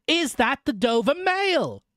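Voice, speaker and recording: A reader voicing a rough, hoarse messenger man on horseback who calls out curtly, in a storybook. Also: medium pitch, big pitch swings, extremely slow, fairly bright tone, dry recording, clean studio sound, pitched above the performer's own voice, heavily acted. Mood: calling out.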